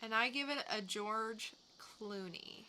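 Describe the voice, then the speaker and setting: A woman's voice: fairly high pitch, slow, sharp tone, a little hiss or hum. A woman co-hosting a podcast about comic books, speaking in an informal review-show style. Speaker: podcast co-host. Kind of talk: casual chat and scripted comic previews.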